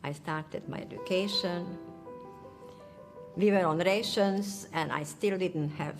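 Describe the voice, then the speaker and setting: A woman's voice: lowish voice, takes it slowly. A woman in her eighties giving Holocaust testimony in plain, short, matter-of-fact sentences. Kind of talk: recorded testimony interview. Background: soft music.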